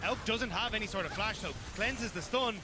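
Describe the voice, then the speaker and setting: A man's voice: high voice, a little fast, background noise. A man giving live analysis as a commentator on an esports match.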